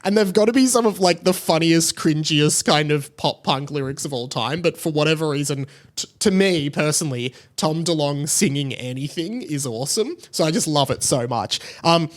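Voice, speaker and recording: Enthusiastic young Australian man, slightly high voice. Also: animated, moderate pace. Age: late 20s. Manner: enthusiastic, gushing. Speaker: podcast host. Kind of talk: casual chatty solo podcast.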